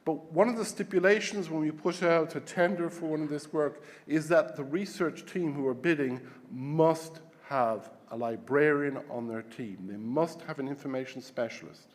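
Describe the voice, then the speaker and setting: A Scottish man giving a formal opening welcome as conference host, with halting restarts and repeated words. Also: on the high side. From Scotland. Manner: hesitant, repeats words while searching. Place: large conference hall. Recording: long hall reverb.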